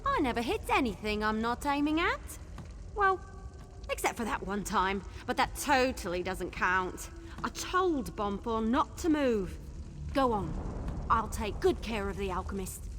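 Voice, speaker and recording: High, cocky elf archer. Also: female voice, sing-song, unhurried, a bit bright, a little hiss or hum. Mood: cocky, playful. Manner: breezy, playful, informal. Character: cocky, chatty female wood elf archer, breezy and teasing. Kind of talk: video game character acting.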